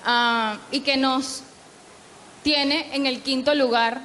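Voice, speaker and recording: A woman in the audience asking the panel a halting question. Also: sits high, fairly even intonation, fairly bright tone. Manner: halting, searching for words. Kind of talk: question from the floor. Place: large event hall. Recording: long hall reverb, faint background noise.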